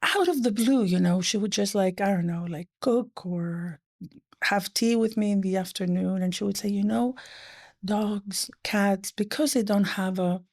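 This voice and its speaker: A middle-aged woman with a gravelly, creaky edge to her voice, whose first language was French. She tells stories in quick, animated bursts and drops to a soft, near-whispered hush when quoting someone. Her voice sits in a middle range. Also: medium pitch. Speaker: guest, economist. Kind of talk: conversational interview.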